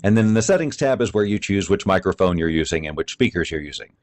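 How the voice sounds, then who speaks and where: Low-voiced man presenting a software tutorial. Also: lively intonation, fast talker. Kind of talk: explanatory product demo narration.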